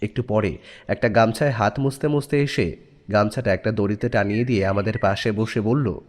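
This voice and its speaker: A man's voice: fairly low pitch, normal speed, a little mellow. A man narrating a scripted audio story expressively, acting out the dialogue as he goes.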